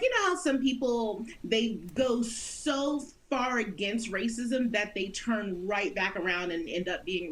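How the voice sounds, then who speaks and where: A woman's voice: medium pitch, a bit bright, slight background noise, poor audio. A woman in her thirties from the United States, an actress talking earnestly in an interview and laughing often. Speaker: guest actress. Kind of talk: interview conversation.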